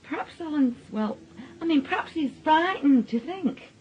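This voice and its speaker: An actress playing a soothing, gossipy middle-aged family friend with a Northern English accent in a radio drama. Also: female voice, sits high, expressive, normal speed, a little hiss or hum. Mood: concerned. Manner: gossipy, placating. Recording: dull radio drama recording.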